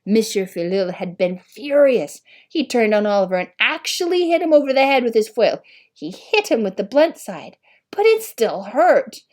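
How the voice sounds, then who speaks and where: A woman's voice: on the high side, big pitch swings, normal speed, darkish tone. A woman narrating a storybook expressively as an audiobook reader.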